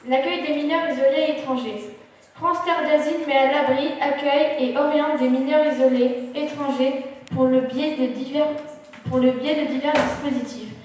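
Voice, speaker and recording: A woman reads out a prepared presentation. Her voice is high. She sounds distant, with long reverb and faint noise around her. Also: fairly even intonation, normal speed, a little mellow. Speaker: student presenter. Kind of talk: presentation read from a prepared text. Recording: echoey hall, distant mic, faint noise.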